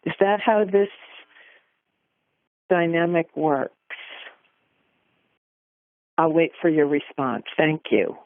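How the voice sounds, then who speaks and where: A woman caller asking a question over the telephone in a deliberate, polite, halting way. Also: low-pitched. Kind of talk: formal, careful question on a call. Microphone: telephone call. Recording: echoless, narrow telephone line.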